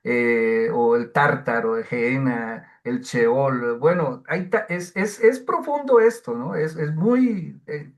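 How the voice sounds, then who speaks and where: A man teaching the Bible, answering questions in an online class, slow and hesitant with many fillers and restarts. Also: medium pitch, lively intonation.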